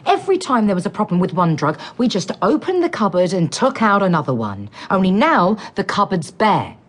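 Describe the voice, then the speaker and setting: A woman's voice: mid-range voice, sing-song, brisk. A woman acting in a radio drama as a driven, frustrated infectious disease doctor, speaking insistently and articulately. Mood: bitter.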